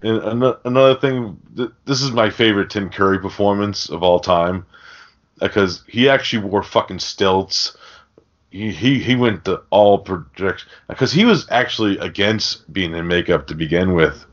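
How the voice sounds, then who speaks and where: A low-voiced man talks casually as a podcast host. He rambles and swears freely, and the recording is dull and lo-fi. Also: normal speed. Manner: rambling, profane. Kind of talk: casual film discussion. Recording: dull, lo-fi audio.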